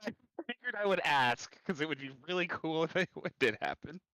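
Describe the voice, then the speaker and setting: Guy at a tabletop rpg, bright voice, pitch moves around a lot, just chatting. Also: expressive, moderate pace, low-quality recording. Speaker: tabletop roleplaying game player. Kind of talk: casual game-table chat.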